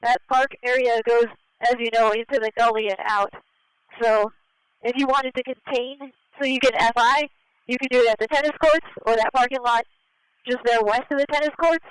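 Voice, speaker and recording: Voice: medium pitch, fairly even intonation, moderate pace, fairly bright tone, clear, resonant tone, no echo, low-quality recording. A voice speaking over police radio, heard on a scanner.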